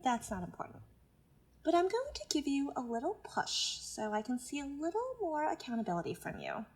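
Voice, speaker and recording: Patronizing self-help mentor, high voice, animated melody, insistent, naturalistic audio drama. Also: female voice, a little fast. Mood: pressing, insistent.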